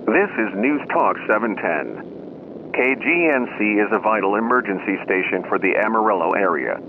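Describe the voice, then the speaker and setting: A man reading a scripted emergency announcement as a radio station announcer, in a formal, measured manner. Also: lowish voice, animated. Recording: dull lo-fi radio sound, loud noise.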